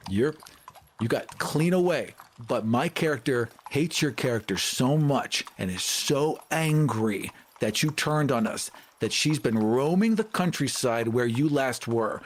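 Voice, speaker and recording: A man's voice: mid-range voice, a little hiss or hum. A man taking part in a tabletop game as a player, casually explaining his character and the story.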